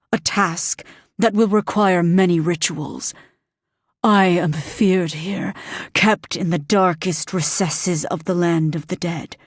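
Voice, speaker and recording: Demon lord, male, cruel and hungry, read by a woman, low menacing drawl, dry close sound. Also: no echo. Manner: slow, menacing, lowered voice.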